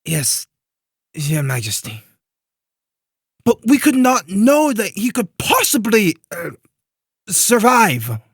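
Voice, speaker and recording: A cringing henchman played by a man in a cartoon-style comic dub, eager to please his evil ruler. His voice is high, husky and full of nervous swoops, in a dry, close recording. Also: very animated, takes it slowly, long pauses, no echo, full character voice. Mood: nervous, grovelling. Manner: obsequious, hesitant, excuse-making. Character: servile, nervous lackey of an evil monarch. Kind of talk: comic dub character acting.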